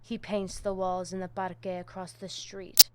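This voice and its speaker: An actress voicing a zealous vampire priestess in a video game, with a Spanish accent and a solemn, commanding manner that mixes in Spanish. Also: female voice, fairly low pitch, little pitch movement, normal speed, sharp tone, clean tone. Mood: grave, mystical. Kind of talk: video game dramatic dialogue.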